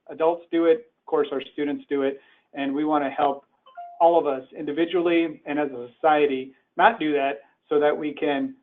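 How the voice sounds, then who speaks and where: An earnest, conversational man born in Iran, giving a presentation from home over a call. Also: a little high. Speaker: webinar speaker. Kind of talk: presentation talk. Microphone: remote call. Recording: tinny phone-like web call audio.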